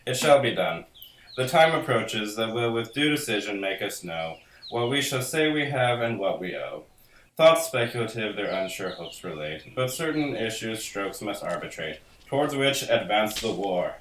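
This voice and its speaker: A battle commander played by a man declaims in a Shakespeare radio drama. His voice is fairly low and lively in pitch, heard off-mic in an echoey room. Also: moderate pace. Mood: resolute. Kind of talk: Shakespearean radio play acting. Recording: echoey, slightly noisy, distant mic.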